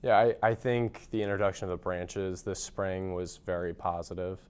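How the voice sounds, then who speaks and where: A young man with a very deep, smooth and resonant voice explains things in an interview. He speaks in a measured, somewhat formal way and often pauses on "you know". He sounds composed. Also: steady tone, a little mellow. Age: young adult. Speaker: graduating college senior. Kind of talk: interview answers.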